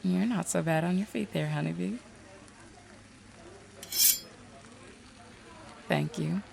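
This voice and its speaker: A low female voice thick with vocal fry, a woman acting a self-assured detective seer. She is flirtatious, sly and playful, teasing her way through an audio drama. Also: fairly quick, background noise. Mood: flirty, playful. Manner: playful, sly, drawling endearments.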